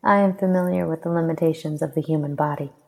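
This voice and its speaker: A woman performs an ageless immortal seductress in a romance audiobook. She purrs and croons in a low, soft, breathy voice with a gravelly edge. Her manner is teasing, tender and unhurried. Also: fairly even intonation.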